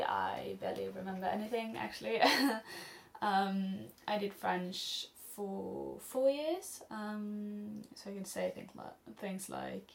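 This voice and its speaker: A woman's voice: mid-range voice, gravelly. A Norwegian teenage girl with a Norwegian accent, answering viewer questions in a chatty, rambling home vlog, filmed close on a camera mic. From Norway. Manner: rambling and chatty, many ums and restarts, laughs often. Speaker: studyblr vlogger answering questions. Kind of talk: casual Q&A vlog.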